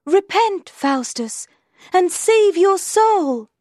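A woman's voice: very high voice, lively intonation, drawn-out words, darkish tone, no echo, clean studio sound, voice pushed up, full character voice. A woman voicing an earnest, hopeful good angel in a storybook, pleading in ringing tones. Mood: earnest, urging.

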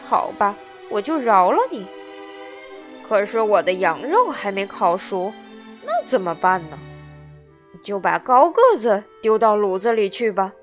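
A woman voicing a stern, blustering male puppet-theatre boss with a soft heart in a children's storybook. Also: mid-range voice, sing-song, measured pace, faint music behind. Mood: relenting, gruff. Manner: loud, commanding, scolding.

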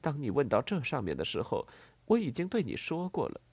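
A man voicing a composed, proud, tender gentlewoman in a storybook, speaking in a measured, earnest and formal way. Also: medium pitch, wildly swooping pitch, husky, no echo, pitched above the performer's own voice, full character voice. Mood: earnest, firm.

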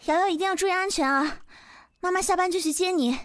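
A woman acting a gentle, anxious young single mother in a Chinese audio drama, with a wounded air. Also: extremely high pitch, quick pace. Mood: apologetic, caring.

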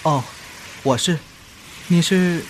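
A man's voice: a little high, takes it slowly, slight background noise, low-quality recording. A man playing a friendly, easygoing sous-chef, a helpful big-brother type in a modern audio drama. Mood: casual, friendly.

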